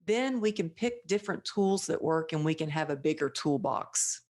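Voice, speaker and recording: A woman from Texas hosting a podcast, telling stories in a lively way and acting out the lines she quotes. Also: low-pitched, moderate pace. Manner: animated storyteller, acts out quoted dialogue. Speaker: podcast host. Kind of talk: casual interview chat.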